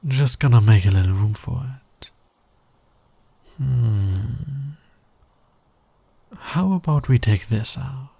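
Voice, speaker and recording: Male actor as smug fantasy villain, very breathy husky voice, gravelly fry, teasing, dominant, ASMR roleplay. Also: medium pitch, warm tone, no echo, exaggerated voice. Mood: playful, tender. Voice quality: breathy, husky, often dropping to a whisper. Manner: teasing, slow, deliberate, dominant. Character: flirty, smug male fantasy villain, patient, smitten with hero. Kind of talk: intimate ASMR roleplay acting. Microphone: close microphone, dry sound.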